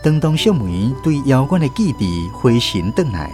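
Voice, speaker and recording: A man narrates a radio story in a Taiwanese accent. His mid-pitched voice moves up and down expressively, with soft music underneath as he hosts. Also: very animated, moderate pace. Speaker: radio drama narrator and host.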